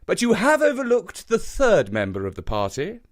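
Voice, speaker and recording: A man voicing a brisk, self-assured male detective, speaking in energetic, precise pronouncements. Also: sits high, voice pushed up.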